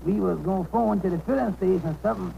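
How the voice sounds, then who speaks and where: Polite folksy cabbie, high-pitched, gravelly, hesitant, earnest, 1930s radio comedy. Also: male voice, fast, highs cut off. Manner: folksy ungrammatical dialect, hesitant and deferential. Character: humble, worried, polite male taxicab driver, folksy and earnest. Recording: noisy 1930s radio broadcast.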